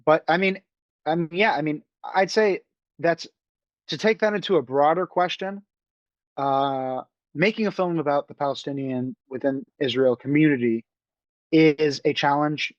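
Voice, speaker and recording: Young man, halting, dry lo-fi sound. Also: on the high side, no echo. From New York. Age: young adult. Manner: halting, many restarts and fillers. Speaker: film director guest. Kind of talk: casual interview talk.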